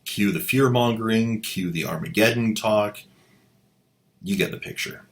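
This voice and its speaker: Low-ish guy host doing snarky commentary, dry jokes. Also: unhurried. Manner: wry, mocking asides. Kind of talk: sarcastic commentary.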